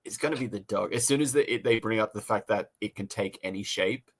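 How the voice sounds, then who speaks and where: A man's voice: on the low side, fairly quick. A man co-hosting a casual review podcast, talking in a rambling way, repeating phrases and voicing his own thoughts.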